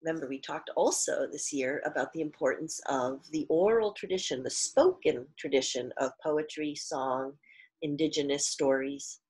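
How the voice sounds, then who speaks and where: A middle-aged woman reading a storybook aloud expressively like a teacher, deliberately stressing key words and pausing to explain. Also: deep voice, darkish tone, breathy edge, rough recording. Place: small living room at home, indoors.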